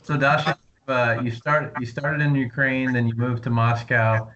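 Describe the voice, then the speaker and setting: A man's voice: medium pitch, level tone, normal speed, pushed and bright. A man co-hosting a podcast, making brief dry quips and asking the guest a question. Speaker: podcast co-host. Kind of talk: casual chat. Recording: dull lo-fi mic.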